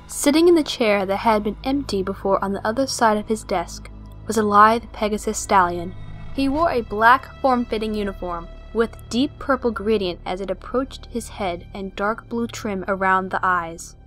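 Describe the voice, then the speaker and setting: A woman narrates an audio play in a medium-pitched voice. She reads scripted storybook prose, with music playing beneath her. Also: normal speed, fairly bright tone.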